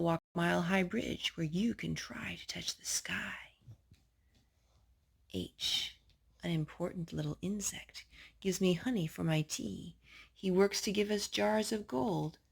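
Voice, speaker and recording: A woman with a slightly husky voice reading a children's picture book aloud as a storytime host. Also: fairly low pitch.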